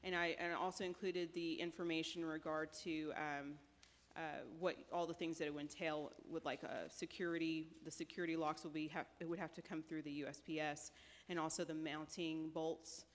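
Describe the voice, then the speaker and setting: A woman's voice: deep voice, level tone, fairly quick. A woman presenting options at a city council meeting, hesitant and full of fillers. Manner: hesitant, many fillers, says she has a light voice. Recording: reverberant meeting hall.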